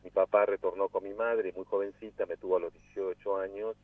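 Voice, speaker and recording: A man of about sixty, raised in Peru, talks slowly into a telephone, his fairly low voice thin and boxy from the narrow line. He gropes for words, repeats himself and circles back as he reflects. The sound is dry, with no room around it. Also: little pitch movement, dry recording. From Peru, raised in Cusco. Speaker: guest actor. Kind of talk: radio interview by phone. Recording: tinny phone line, dry.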